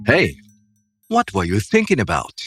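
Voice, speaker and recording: A man's voice: fairly low pitch, huge pitch swings, moderate pace, soft background music. A man playing an arrogant, fault-finding husband in his thirties, scornful and demanding, in a dubbed story. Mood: angry blaming.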